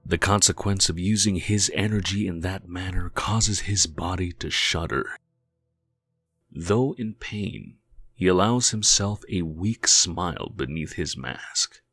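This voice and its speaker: A man narrates a comic story in a low, husky voice. His scripted delivery is slow and dramatic, recorded dry with faint music underneath. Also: a bit bright, dry recording.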